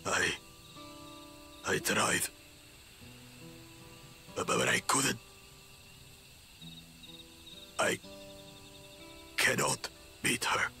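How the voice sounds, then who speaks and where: Gravelly, brooding warrior son. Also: male voice, on the low side, very animated, extremely slow, pauses often, sharp tone, faint music behind, exaggerated voice. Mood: ashamed. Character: defeated, brooding prideful warrior son, bitter. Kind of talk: video game voice acting.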